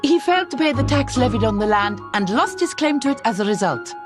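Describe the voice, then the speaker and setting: Actress as fact-driven lady investigator in a mystery game: superior, exact, expressive pitch, heavy music underneath. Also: fast, natural voice. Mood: matter-of-fact. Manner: precise, superior. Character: crisp, proud, fact-driven young female investigator. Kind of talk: animated mystery game voice acting. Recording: loud music behind the voice.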